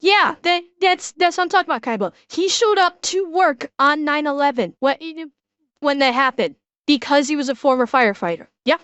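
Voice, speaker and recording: High-voiced Midwestern woman chatting to her stream. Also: moderate pace, a bit bright, no room sound, muffled recording. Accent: broad Midwestern American. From Chicago, USA. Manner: rambling, self-correcting, talks to chat. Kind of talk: casual reaction stream chat.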